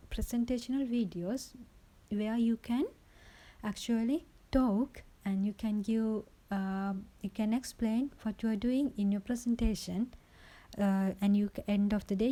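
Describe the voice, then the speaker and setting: A woman's voice: on the high side, dark tone. A woman presenting an informal how-to voice-over recorded on a phone's built-in microphone, explaining step by step and often checking in with the listener. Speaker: tutorial presenter.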